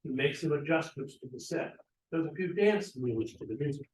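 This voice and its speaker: A man's voice: on the high side, expressive, normal speed, darkish tone. Guy reading out stage directions for a play, far off mic, roomy. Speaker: stage directions reader at a play table read. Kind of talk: scripted narration. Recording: echoey room, distant mic.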